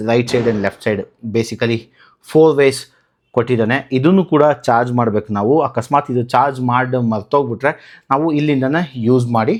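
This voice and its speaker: A man from Karnataka, India, talking casually as a vlogger through a hands-on tutorial, explaining by posing questions and answering them. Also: mid-range voice. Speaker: motovlogger, channel host.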